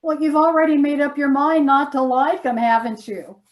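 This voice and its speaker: Actress as a high-pitched frustrated, nagging wife. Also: female voice, fairly even intonation, normal speed, natural voice. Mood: reproachful. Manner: reproachful, emphatic, sarcastic. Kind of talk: comic readers theater sketch.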